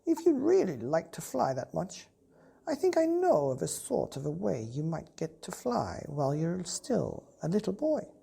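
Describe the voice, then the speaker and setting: Woman voicing a husky, genteel old she-cat. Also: lowish voice, big pitch swings, moderate pace, warm tone, pitched above the performer's own voice, exaggerated voice. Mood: musing, confiding. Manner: genteel, musing, storytelling. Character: polite, well-travelled old female alley cat.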